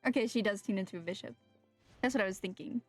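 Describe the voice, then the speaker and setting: A woman's voice: medium pitch, fast, no room sound, soft background music. A woman streamer giving casual, cooing and gushing live reactions as she plays a game.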